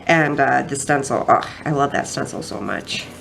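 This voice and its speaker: A woman's voice: extremely low pitch, moderate pace, creaky, fairly bright tone, slight background noise, rough recording. A woman with a stuffy, nasal voice from a cold, chatting casually through a craft journal flip-through and rambling with many ums and restarts. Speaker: craft vlogger.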